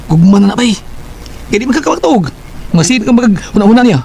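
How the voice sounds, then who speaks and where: A male coworker in a radio drama who teases his friend and lectures him about love. His voice is high and playful, with a little noise in the background. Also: sing-song, quick pace, vocal fry, darkish tone, exaggerated voice. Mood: advising. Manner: teasing, advising. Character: teasing male coworker and buddy.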